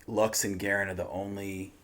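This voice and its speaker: A man's voice: deep voice, flat delivery, normal speed, fairly bright tone. A man chatting casually as a reaction host, slangy and full of swearing.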